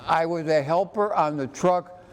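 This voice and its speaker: An elderly American man in his eighties, an interview guest speaking earnestly and haltingly, often repeating himself. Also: fairly high pitch. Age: 80s. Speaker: interview guest, army veteran. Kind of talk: personal reminiscence in an interview. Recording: echoey hall with background noise.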